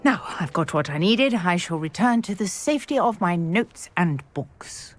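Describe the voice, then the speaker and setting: A woman's voice: on the low side, lively intonation, moderate pace, natural voice. A woman voicing an eager, chatty witch archaeologist in a video game, prone to exclaiming in wonder. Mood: brisk, friendly. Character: excitable scholarly witch, archaeologist obsessed with Merlin.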